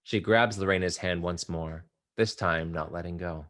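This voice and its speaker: A man narrating the stage directions of a play at a table read, in a steady, measured reading. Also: deep voice.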